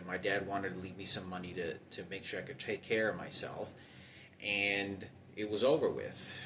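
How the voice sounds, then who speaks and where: Low-voiced man, halting and repetitive. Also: a bit bright, a little hiss or hum. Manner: halting, repetitive, circling back over points. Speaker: storyteller recounting personal hardship. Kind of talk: unscripted personal monologue.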